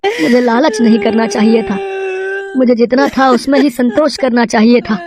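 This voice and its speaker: Woman voicing a high-pitched proud village farmer. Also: animated, normal speed, a crowd in the background. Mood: regretful. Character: greedy, proud male village farmer, easily swayed. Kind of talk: cartoon moral story dialogue.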